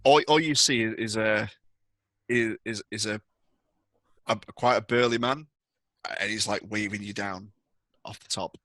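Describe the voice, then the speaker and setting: A man's voice: on the low side, steady tone, unhurried, long pauses, fairly bright tone, no room sound. A man narrating a tabletop roleplaying game as game master, describing scenes to players.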